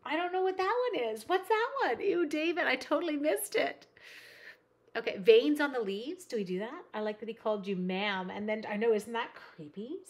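A woman's voice: on the high side, moderate pace. A woman from Canada hosting a live card-making stream, chatting and reading comments while she cuts. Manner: rambling, animated, reads chat comments aloud. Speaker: card-making livestream host. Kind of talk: chatty live crafting demo. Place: craft room at a desk.

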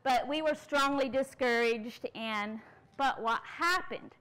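A woman's voice: sits high, takes it slowly, a bit bright, clean tone, rough recording. Woman giving a storytelling lecture. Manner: earnest storytelling, addresses the audience with questions. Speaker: conference speaker. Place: large tent at an outdoor conference.

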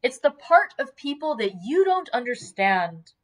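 A woman reading a novel in the voice of an earnest, tender old man who is quick to heat up. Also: mid-range voice, lightly acted. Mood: indignant. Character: impassioned saintly old man, tender, hot-tempered, earnest.

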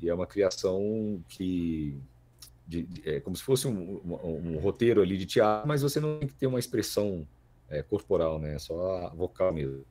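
A man's voice: deep voice, animated, moderate pace, mellow. A Brazilian man in his thirties chatting casually as a podcast guest, repeating words as he thinks aloud. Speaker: voice actor guest. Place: small plain-walled room indoors.

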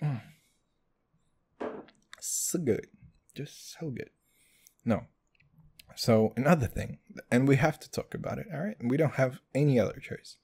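Guy hosting a comedy podcast, foul-mouthed, keeps saying dude, mellow dark voice. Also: medium pitch, unhurried, gravelly, long pauses, breathy edge. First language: Hebrew. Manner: crude, loud swings, calls listeners dude. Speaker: podcast host. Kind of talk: comedic solo podcast rant.

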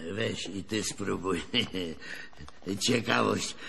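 A folksy old grandfather in a radio play, spinning wry, wistful tales in rustic speech. His voice is husky and creaky, with an unhurried delivery. It rings in a long reverberant space. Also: fairly low pitch, fairly even intonation, bright, forceful tone, heavily acted. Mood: amused, boastful. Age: older. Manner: rambling folksy storytelling, chuckling, rustic colloquial speech. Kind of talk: radio drama acting.

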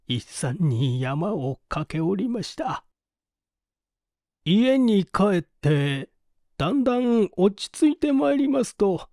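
A man giving a dramatic literary reading of a mystery story in measured, deliberate phrases with pauses, close to the microphone. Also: sits high, a little mellow, no echo. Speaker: audiobook narrator, small-theatre actor.

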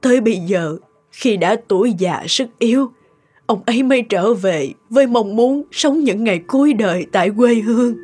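A woman voicing a gentle, sorrowful cartoon mother who speaks earnestly and apologetically. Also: fairly high pitch, expressive, moderate pace, breathy edge. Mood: regretful. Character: gentle, sorrowful mother explaining to her child. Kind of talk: Vietnamese cartoon voice acting. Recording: music behind the voice.

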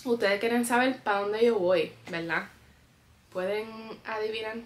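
A woman's voice: mid-range voice, a little slow. Bronx-born woman, chatty family vlog at home, mixes in english, faint hiss. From New York, the Bronx. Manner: chatty, mixes English words into her Spanish. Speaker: family vlogger. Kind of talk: casual vlog chat to camera. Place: at home, packing a suitcase.

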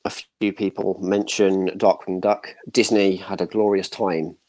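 A man's voice: sits low, little pitch movement, measured pace, a little mellow, rough recording. A man in his thirties chatting casually as a panel guest, giving opinions in short broken bursts. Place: home room, indoors, video call.

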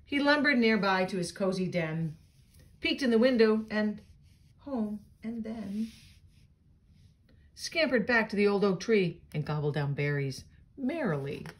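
A woman reading a children's picture book aloud in an expressive, child-directed way, with chatty asides. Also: mid-range voice, unhurried, long pauses. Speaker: storybook reader.

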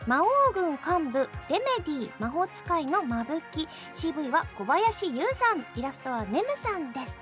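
A woman's voice: high voice, lively intonation, moderate pace, hardly any pauses. A woman hosting a radio show, switching between casual chat and reading out game announcements. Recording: music behind the voice. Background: background music.

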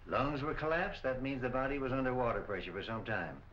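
A dull, faintly hissy 1940s film track carries a police coroner, played by a man. He is middle-aged, with a mid-pitched voice and an unhurried, clinical manner. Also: a bit bright, close to an everyday voice. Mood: matter-of-fact.

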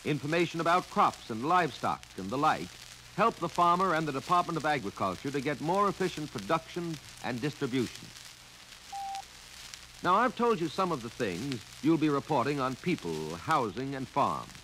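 A man's voice: a little high, normal speed. Crackly mid-century American male film narrator. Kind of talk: 1950 instructional film narration. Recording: noisy 1950 filmstrip soundtrack, steady crackle.

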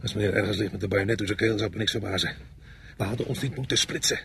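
Fed up soldier in a war radio play, blunt and urgent, bit of background noise. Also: male voice, fairly low pitch, fairly even intonation, rapid-fire, gravelly, pushed and bright. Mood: exasperated. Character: tense, exasperated male soldier on night patrol. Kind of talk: radio drama acting.

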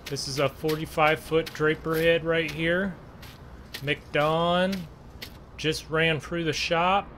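A man hosts a gameplay video in a casual, conversational manner. His voice sits a bit high and he talks very slowly, leaving pauses. Faint vehicle noise runs behind him. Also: flat delivery, fairly bright tone. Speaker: gaming video host. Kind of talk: casual role-play gameplay commentary.

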